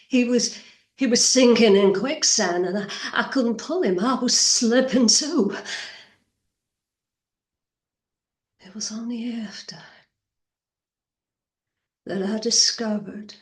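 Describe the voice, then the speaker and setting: A woman performing a stage monologue as a fragile, haunted Southern woman recalling young love, halting and drifting into whispers. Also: a little high, pitched above the performer's own voice. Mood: anguished. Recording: echoey room.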